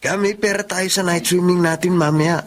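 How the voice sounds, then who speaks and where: A woman's voice: fairly low pitch, fairly even intonation, moderate pace, a little hiss or hum, lightly acted. Woman playing a sly, teasing teenage student. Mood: sly, mocking. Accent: Filipino. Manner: teasing, persuasive. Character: sly, mocking teenage student who goads friends. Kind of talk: Filipino radio drama acting. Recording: music behind the dialogue.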